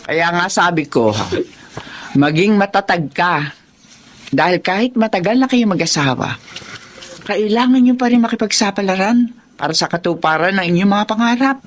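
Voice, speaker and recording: An actress playing a firm, wise older mother in a melodrama, giving counsel in a measured, admonishing way. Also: medium pitch, slight background noise, lightly acted. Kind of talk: radio-style melodrama acting.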